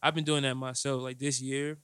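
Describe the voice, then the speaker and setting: A young man hosting a podcast, laid-back and slangy, with a soft, naturally high-pitched voice and frequent laughs. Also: little pitch movement, a little fast, smooth, no room sound, studio quality. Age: young adult. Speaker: podcast host. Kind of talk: casual chat interview.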